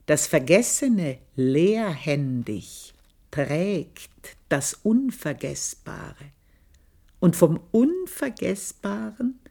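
Slow, low-voiced maidservant. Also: female voice, warm tone, full character voice. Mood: solemn, brooding. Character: passionate, scheming older maidservant confessing a past affair. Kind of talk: literary radio drama monologue.

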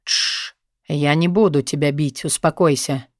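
A woman's voice: normal speed, dry recording, studio quality, lightly acted. A woman voicing a stern, domineering man in an audiobook drama, commanding and menacing, with bitter, cold anger. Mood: low, soothing. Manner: commanding, low-spoken, menacing.

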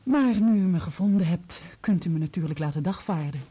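An actress in a Dutch radio play playing a composed, candid divorced woman who speaks in a measured, probing way. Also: lowish voice, mellow, breathy edge. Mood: candid, reflective.

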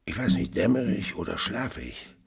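An actor playing a frightened, muddled peasant suspect in a period radio play, halting, repetitive and servile. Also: male voice, lowish voice, very animated, creaky, breathy edge, exaggerated voice. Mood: dazed, halting.

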